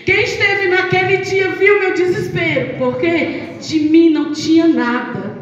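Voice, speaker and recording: Woman, mid age, heartfelt public speech, high voice bouncing round a big hall. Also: fairly even intonation, unhurried, highs cut off. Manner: impassioned, testimonial, raising voice at peaks. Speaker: health council member. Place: large hall, indoors. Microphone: handheld mic, filmed from far off through speakers. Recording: echoey hall, far from camera.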